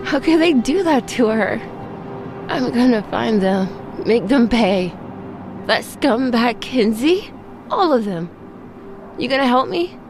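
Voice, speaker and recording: A woman's voice: a little high, lively intonation, slow pace, pauses often, music in the background. A woman playing a tough, guarded young cat burglar with a defiant, dramatic delivery in an audio drama. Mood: angry, urgent. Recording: clear noise and ambience behind voice.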